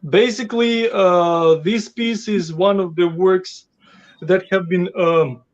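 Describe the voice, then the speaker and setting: A man from Ukraine speaks haltingly in a fairly high voice, stopping often to restart his sentences. The call audio sounds dull and lo-fi. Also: steady tone. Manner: hesitant, many fillers and restarts. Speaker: guest artist. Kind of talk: casual interview over a call. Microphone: video call.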